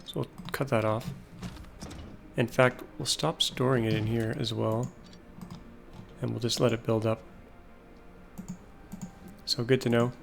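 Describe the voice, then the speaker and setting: A man's voice: medium pitch, level tone, a little slow, vocal fry, long pauses. Man musing aloud over a factory game. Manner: musing, thinking aloud while playing. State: losing his voice. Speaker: gameplay video host. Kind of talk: casual let's-play commentary.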